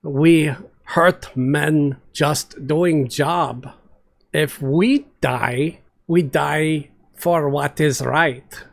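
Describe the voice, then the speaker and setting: A man as a very slow, gentle giant paladin. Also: mid-range voice, gravelly, exaggerated voice. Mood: solemn, gentle. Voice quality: soft-spoken. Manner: slow, halting broken speech. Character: gentle, solemn, massive green paladin brute.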